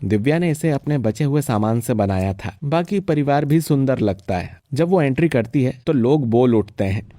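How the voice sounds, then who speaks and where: A man narrates a cartoon story from a script. His voice is dark and mellow at a medium pitch, recorded very dry with no room sound. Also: expressive, normal speed, dry recording.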